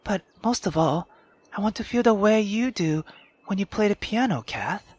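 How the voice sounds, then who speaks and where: A woman voicing an earnest, thoughtful young girl with a gentle, measured manner in a visual novel read-aloud. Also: medium pitch, animated, breathy edge, no echo.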